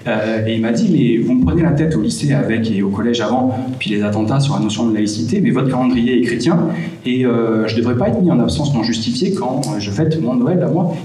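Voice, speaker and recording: A man giving a prepared conference talk with personal anecdotes, in a measured, hesitant way with frequent pauses. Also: medium pitch, dark tone. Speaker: conference speaker from a volunteer hosting association. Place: echoing room at a public conference. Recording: roomy, distant mic, faint background noise.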